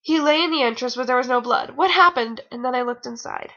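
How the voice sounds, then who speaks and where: A woman's voice: high voice, a little fast, fairly bright tone, muffled recording. A young adult woman giving a mocking amateur fan fiction reading with rambling, exasperated, theatrical asides, sounding a bit tired. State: tired, sore nose.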